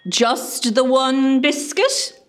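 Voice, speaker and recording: Woman acting a stern, slow, high-voiced nursing boss. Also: expressive, exaggerated voice. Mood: stern, exasperated. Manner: authoritative, scolding, deliberate. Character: stern, bossy female hospital director of nursing. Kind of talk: amateur comedy radio play acting.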